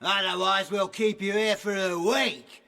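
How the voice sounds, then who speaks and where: A man's voice: high voice, fairly even intonation, unhurried, vocal fry, sharp tone, exaggerated voice. A man playing a threatening crook who holds children captive in a children's audio drama. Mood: menacing. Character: menacing art thief holding children captive. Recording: long echoing dungeon reverb.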